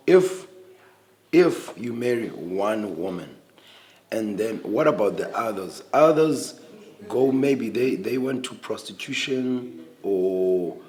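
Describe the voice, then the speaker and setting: A man's voice: on the low side, lively intonation, slow pace, a little mellow. A man in his twenties, a native Xhosa speaker, talking earnestly in a casual panel discussion with many restarts. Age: 20s.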